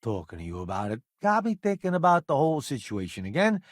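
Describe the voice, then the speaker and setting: A man's voice: medium pitch, huge pitch swings, fast, dry recording, clean studio sound. An actor playing a jaded, showy middle-aged food critic turned hired space crewman in a video game, with wry, flippant, slangy delivery. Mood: boastful, chatty. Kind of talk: video game companion dialogue.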